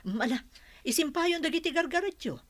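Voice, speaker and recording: A woman's voice: sits high, animated, moderate pace. A woman playing a kindly, generous older godmother in a radio drama. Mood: kindly, reassuring. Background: music.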